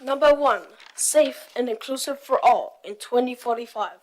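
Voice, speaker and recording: A woman's voice: fairly high pitch, normal speed, rough recording. A young woman from Tonga reading a prepared presentation as part of a youth group.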